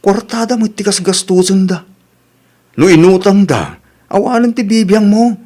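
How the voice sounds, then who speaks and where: A man's voice: high-pitched, lively intonation, moderate pace, warm tone. A man playing a frank village husband in an Ilocano radio comedy drama, chiding and lecturing in exasperation, with a Filipino Ilocano accent. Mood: exasperated, lecturing.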